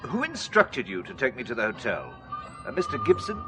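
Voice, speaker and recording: A man's voice: medium pitch, wildly swooping pitch, brisk, over music. An actor playing a polite, slightly hesitant middle-aged English businessman in an audio drama. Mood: courteous.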